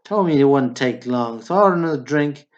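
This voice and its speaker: A man's voice: mid-range voice, lively intonation, brisk, natural voice. An actor playing an easygoing small-town drinker who rambles matter-of-factly through his stories, in an amateur radio play. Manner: rambling, matter-of-fact storytelling. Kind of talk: amateur radio drama acting. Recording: dull lo-fi radio drama recording.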